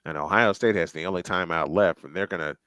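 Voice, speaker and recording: A man calls a football game live with a bright, crisp voice. He chats and thinks aloud between plays. The sound is dry and close to the mic. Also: sits low, fast, dry recording. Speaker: play-by-play commentator.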